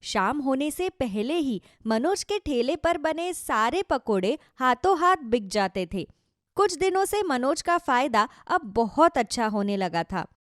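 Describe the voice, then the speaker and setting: A woman's voice: sits high, very animated, a little slow, no room sound, clean studio sound. A woman reading a scripted moral tale as a storyteller. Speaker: narrator.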